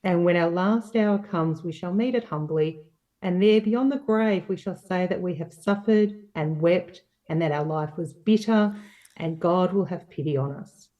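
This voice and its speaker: A woman playing an earnest, patient, sorrowful young woman in an amateur play reading, speaking in a measured way. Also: fairly low pitch, natural voice. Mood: consoling, fervent. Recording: dull, distant microphone.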